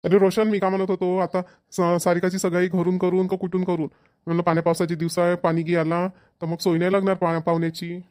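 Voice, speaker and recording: A chatty middle-aged village father from a cartoon comedy, acted by a man, practical and a little bossy. He speaks rapidly in a fairly high voice with a Varhadi Marathi accent, repeating himself for emphasis. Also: little pitch movement, a little mellow, clean tone, dry recording, clean studio sound, lightly acted. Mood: agreeable.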